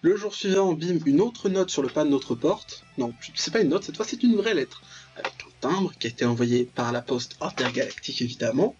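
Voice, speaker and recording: A man's voice: a little high, expressive, fairly quick, darkish tone, highs cut off, soft background music. A man giving casual, joking commentary on a visual novel, ironically paraphrasing and reacting aloud as he plays. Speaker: let's player, channel host.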